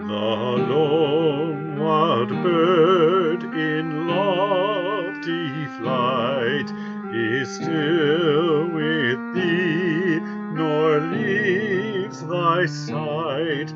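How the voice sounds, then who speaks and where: A woman's voice: low-pitched, extremely slow. A woman singing a church hymn, in a dull recording. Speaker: church singer. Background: music accompaniment.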